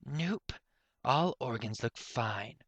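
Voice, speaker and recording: A man's voice: medium pitch, lively intonation, slow, fairly bright tone, dry recording, full character voice. A man voicing an irritated, sardonic unicorn stallion professor in a pony story, curt and mocking in manner.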